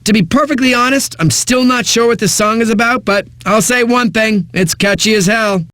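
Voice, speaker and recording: An actor playing a cocky, flippant eighties-hits radio DJ in a video game, with smug, punchy announcing and dramatic pauses. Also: male voice, high-pitched, bright, forceful tone, no echo, clean studio sound. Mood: playful, hyped. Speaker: radio DJ, host. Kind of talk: 1980s music radio DJ patter.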